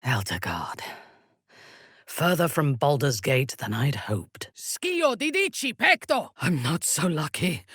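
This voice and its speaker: A woman voicing a ruthless, haughty warrior zealot for a video game, speaking in an imperious, measured, menacing way. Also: deep voice, husky, no echo, clean studio sound, full character voice. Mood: brooding, then fierce battle cries. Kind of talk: video game character voice lines.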